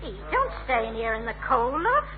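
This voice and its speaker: A woman's voice: a little high, sing-song, normal speed, faint music behind. A woman playing a fretful, placating middle-aged mother in a radio sitcom. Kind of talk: 1970s British radio sitcom acting. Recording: tinny, very noisy old radio recording.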